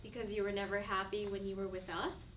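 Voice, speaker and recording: A woman's voice: mid-range voice, level tone, fairly quick, fairly bright tone, close to an everyday voice. An actress playing a hurt, challenging young woman in a stage play, pointed and confrontational. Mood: bitter. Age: young adult. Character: bitter, challenging 23-year-old ex-best friend, hurt and betrayed. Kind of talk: one-act play dialogue. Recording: echoey room, distant mic, faint noise.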